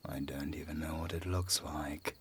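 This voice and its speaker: An actor playing a dying four-century-old male vampire villain in an audio drama, weary and wistful, with a gravelly, breathy whisper and halting delivery. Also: rumbling low, steady tone, fairly bright tone, slight background noise. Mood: resigned, wistful. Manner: halting, slow, sighing. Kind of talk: cinematic audio drama acting.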